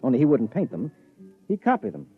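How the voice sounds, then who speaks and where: A man's voice: a little high, very animated, brisk, vocal fry, husky, full character voice. An actor playing a wry, conversational man who narrates and schemes in a 1950s radio drama. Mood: wry narration. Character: wry, plausible man narrating his artist friend's scheme. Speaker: narrator and character in a radio play. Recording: muffled vintage radio recording with music.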